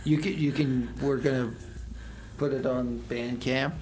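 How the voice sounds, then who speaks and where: A man's voice: mid-range voice, fairly even intonation, a little slow, a little mellow, slight background noise, low-quality recording. A man, a musician and songwriter, chatting casually and a bit hesitantly as an interview guest. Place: radio studio.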